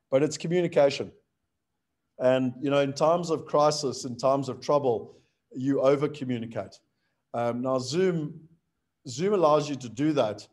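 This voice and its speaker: A man's voice: medium pitch, darkish tone. A middle-aged man from South Africa hosting a webinar from his home office, talking casually in a rambling way with many fillers and restarts. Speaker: webinar host. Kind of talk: casual talk.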